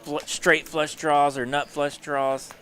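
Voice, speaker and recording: A man with a fairly high, bright, forceful voice gives poker color commentary. He is playful and jokes along with his partner. He talks from a commentary booth. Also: takes it slowly, slight background noise. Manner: playful, joking, excitable on big hands.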